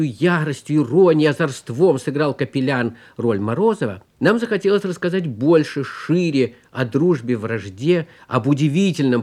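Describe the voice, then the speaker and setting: Measured man with a mid-pitched voice. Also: a little mellow. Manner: measured, long rhetorical lists. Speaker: screenwriter, radio programme guest. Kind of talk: formal spoken recollection.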